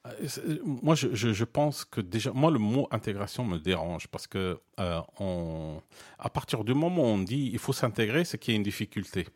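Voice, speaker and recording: Moroccan-born man, low voice, measured explanations. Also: expressive, darkish tone. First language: Arabic and French bilingual. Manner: measured, explanatory, with frequent restarts and hesitations. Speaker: interviewee. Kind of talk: conversational interview.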